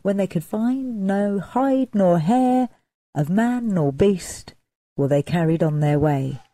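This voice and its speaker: A woman storyteller narrating a folk tale dramatically, with expressive, deliberate emphasis. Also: medium pitch, dry recording.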